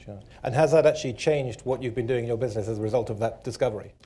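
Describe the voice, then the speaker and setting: A man moderates a conference panel in quick, pressing questions. His voice is husky and mellow, moving at a fast clip. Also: medium pitch. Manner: brisk, questioning.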